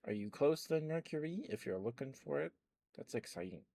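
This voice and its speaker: A man's voice: fairly high pitch, lively intonation, brisk, gravelly, dark tone. A man doing ASMR as a live streamer, chatting casually and affectionately with viewers close to the microphone. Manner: affectionate, addresses viewers by pet names.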